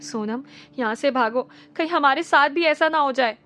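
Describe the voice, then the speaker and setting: A young office woman who sounds calm and reassuring until fear sends her voice up into shrieks, as voiced by a woman narrator. It sounds like a storybook reading. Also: high voice, normal speed. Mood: scared. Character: young woman office employee, easily scared.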